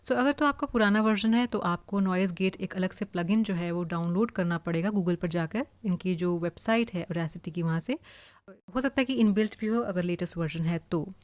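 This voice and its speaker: An Indian woman giving an informal, explanatory software tutorial, mixing English words into her speech. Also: lowish voice, normal speed, no echo. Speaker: voice-over artist giving a tutorial. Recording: clearly hissy home recording.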